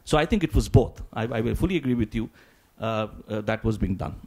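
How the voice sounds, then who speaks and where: A man speaking as a symposium panelist, answering in a halting, deliberate academic way and often repeating words. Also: on the low side, lively intonation, mellow.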